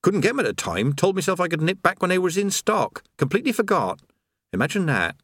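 A man's voice: high-pitched, very animated, brisk, fairly bright tone, no room sound, clean studio sound, pitched above the performer's own voice, exaggerated voice. A man voicing the cheerful, absent-minded creator of the universe, who rambles like a chatty tradesman. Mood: breezy. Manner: chatty, rambling, casual workman's talk.

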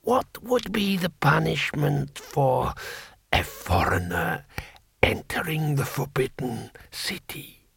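A man's voice: on the high side, expressive, creaky, a bit bright, slightly rough, no echo, studio quality, heavily acted. A man voicing an ancient, gleefully cruel emperor in a thin, whispery old voice for an audiobook. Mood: curious, amused. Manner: slow, halting, drawn-out. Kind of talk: audiobook character voice.

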